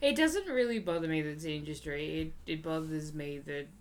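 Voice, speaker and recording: A woman's voice: low-pitched, brisk. A woman chatting casually as a podcast guest, giggly and chatty. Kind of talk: casual film commentary chat.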